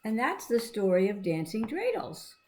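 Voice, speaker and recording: A woman's voice: fairly high pitch, sing-song, moderate pace, a little mellow, slight background noise. A woman reading and explaining a storybook to children in a simple, encouraging, teacherly manner. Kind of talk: read-aloud with explanatory asides to children.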